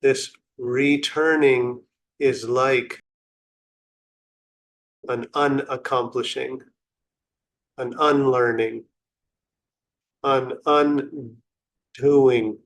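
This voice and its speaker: A man leading a guided meditation in an unhurried, contemplative and instructional way, with long pauses between short phrases. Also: medium pitch, fairly even intonation.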